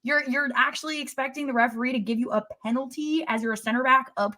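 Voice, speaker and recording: Bright-voiced woman chatting about sports. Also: sits high, quick pace. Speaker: sports podcast co-host. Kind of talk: casual sports fan chat.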